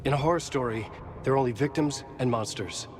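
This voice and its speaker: A man's voice: mid-range voice, fast, soft background music, natural voice. An actor playing a troubled male writer trapped in a horror game story, speaking with a grave, brooding intensity. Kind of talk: dramatic video game voice acting. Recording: game audio with clear background noise.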